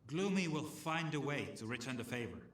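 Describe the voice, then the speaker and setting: An actor playing a friendly, slangy scavenger in a video game, speaking casually with some hesitation. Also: male voice, medium pitch, expressive, fairly quick, a bit bright, echoey room, natural voice. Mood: uneasy, persuasive. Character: chatty scavenger stalker man asking a stranger for help. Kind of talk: video game dialogue acting.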